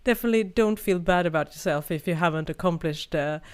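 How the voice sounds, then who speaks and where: A woman's voice: on the low side, quick pace, smooth. A woman co-hosting a podcast in reflective casual chat, speaking with a cold. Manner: reflective, thoughtful, many "you know"s. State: has a cold. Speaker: podcast co-host.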